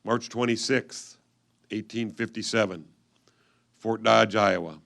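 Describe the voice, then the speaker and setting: A man reading old letters aloud in a reader's theatre style, with a solemn, measured delivery. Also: lowish voice, gravelly, fairly bright tone.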